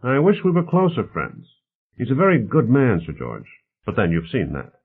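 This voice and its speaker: A man playing a genial, animated executive, phone-like sound. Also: mid-range voice, moderate pace, husky, pitched above the performer's own voice, heavily acted. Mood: reflective. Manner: expansive, assured, hospitable. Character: genial, confident male executive of a futuristic city-building. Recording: narrow phone-like band.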